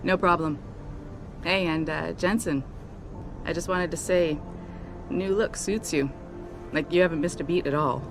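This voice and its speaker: An actress playing a confident, friendly young company pilot in a video game, speaking casually and frankly. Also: female voice, low-pitched, steady tone, a little slow, pauses often, over music. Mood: easy, friendly.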